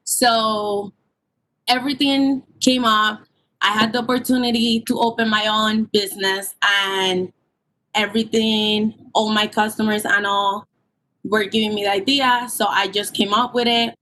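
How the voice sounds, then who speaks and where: A woman of twenty-one, explaining her business plan earnestly at a public hearing, with halting ums, over a call line. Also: medium pitch, fairly even intonation, sharp tone, a little hiss or hum, low-quality recording. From Puerto Rico. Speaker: permit applicant. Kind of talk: remote public hearing testimony.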